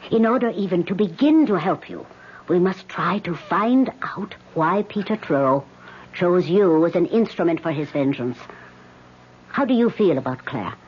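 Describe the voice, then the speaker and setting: An actress in a vintage radio drama playing an old spirit medium, asking measured, probing questions with unflappable gravity. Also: female voice, fairly low pitch, expressive, close to an everyday voice. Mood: grave, insistent. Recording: muffled, hissy old radio recording.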